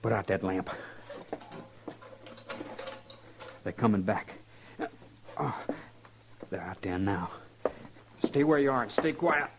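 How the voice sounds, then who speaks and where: An actor playing a fatally wounded young outlaw in a radio Western, speaking haltingly in a weak, labored rustic drawl, bitter but resigned. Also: male voice, on the low side, lively intonation. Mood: strained, wary. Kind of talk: old-time radio Western acting. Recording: muffled, noisy old radio recording.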